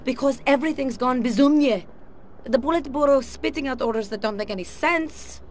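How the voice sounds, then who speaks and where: A woman's voice: high voice, brisk, slight background noise, natural voice. An actress doing a female Russian KGB spy, Russian accent, cool dry delivery, sultry and lethal, game cutscene, faint ambience behind. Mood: earnest. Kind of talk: video game cutscene acting.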